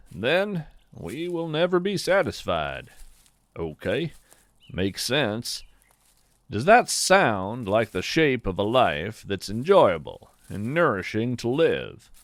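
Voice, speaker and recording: A man reading a scripted, reflective podcast narration in a measured, deliberate manner with pauses and emphatic phrasing. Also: mid-range voice, a bit bright, no echo. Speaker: podcast host and writer.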